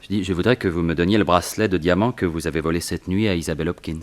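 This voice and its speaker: An actor playing a suave, teasing gentleman thief in a theatrical 1960s French radio crime serial. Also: sits low, rapid-fire, natural voice. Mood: polite, sly. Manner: theatrical, playful, exclaiming.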